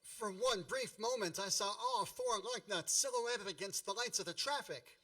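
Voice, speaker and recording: A bright, slightly high-pitched man's voice, heard from off the microphone. An actor plays a nostalgic radio-play narrator who tells boyhood troubles with wry, mock-epic grandeur. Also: animated, normal speed, full character voice. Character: nostalgic grown man wryly retelling his boyhood mishaps. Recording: distant microphone.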